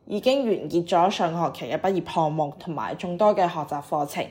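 A woman's voice: on the low side, expressive, fairly quick, a little mellow. A woman in her early twenties reads a scripted podcast introduction as the host. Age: early 20s. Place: university dormitory room.

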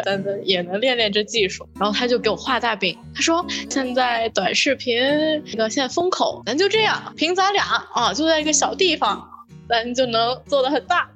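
Young woman, slightly high voice, podcast host, casual animated anecdote, indignant, background music.

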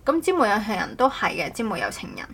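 A woman's voice: medium pitch, big pitch swings, fast talker, fairly bright tone. A woman hosting a casual podcast chat in a playful, teasing way. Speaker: podcast host. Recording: clearly noisy background ambience.

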